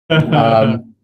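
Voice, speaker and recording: A man's voice: medium pitch, dull audio. A man with a Scottish accent giving a technical meetup talk, hesitant, with many fillers and some self-deprecating humor. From Scotland. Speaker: presenter. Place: meetup room, also streamed online.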